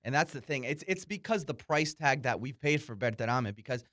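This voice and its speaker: Fast-talking male soccer analyst. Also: medium pitch, animated, fairly bright tone, dry recording, clean studio sound. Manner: explanatory, conversational. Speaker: soccer show co-host and analyst. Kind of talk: casual sports analysis.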